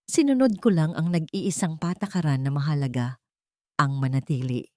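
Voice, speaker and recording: A woman voicing a gentle, devoted young woman in love, tender and earnest, in a narrated story. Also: deep voice, normal speed, dry recording, studio quality, lightly acted.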